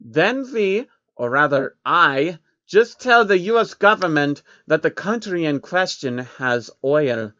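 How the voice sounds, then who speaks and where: A man's voice: on the high side, expressive, takes it slowly, bright, forceful tone, dry recording, lo-fi sound, voice pushed up, heavily acted. A man voicing a smug, fussy, pompous rich baron in a storybook reading. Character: smug scheming rich male banker baron, fussy and haughty.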